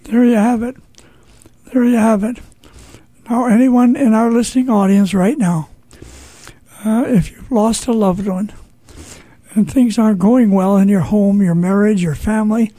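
Husky, mellow, folksy male radio host. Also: high-pitched, steady tone, unhurried, long pauses, no room sound, poor audio. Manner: exclamatory, informal, addresses listeners directly. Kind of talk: folksy conversational radio hosting.

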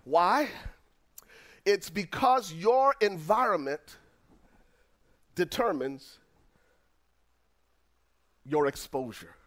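A middle-aged man with a Liberian accent, preaching a sermon as pastor, swinging from near-shouts down to low, quiet lines. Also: expressive, very slow, pauses often. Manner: preaching with dramatic swings, rising to shouts then dropping low.

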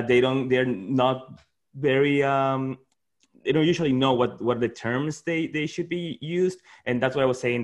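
A man from Peru, a comedian, speaking on a panel in a halting way with many restarts. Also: mid-range voice. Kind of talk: panel discussion.

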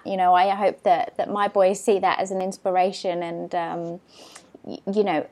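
A woman's voice: medium pitch, darkish tone. A woman hosting a podcast interview, asking friendly, encouraging questions. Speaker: podcast host. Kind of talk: interview conversation.

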